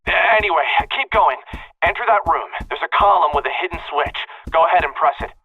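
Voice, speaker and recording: A man's voice: fairly high pitch, animated, fairly quick, husky, soft background music. A man playing an urgent, impatient young guide in an anime-style video game, with a slightly muffled sound. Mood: urgent, commanding.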